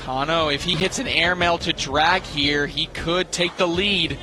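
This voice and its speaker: A man with a high voice gives live sports analysis. He spells out the stakes with dramatic, emphatic phrasing, over clearly noisy venue ambience. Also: fairly even intonation, a little slow. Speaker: color analyst sports commentator. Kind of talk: live TV analysis of a cornhole championship. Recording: clear venue noise behind the voice.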